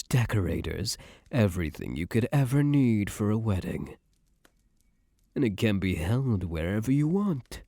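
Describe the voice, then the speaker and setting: A low, close and dry voice of a young mafia heir, acted by a man in an ASMR roleplay. He is tough and self-assured, yet tender with his partner. His slangy, affectionate talk rises and falls expressively. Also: darkish tone, no echo. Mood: tender, promising. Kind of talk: ASMR boyfriend roleplay, intimate scripted monologue.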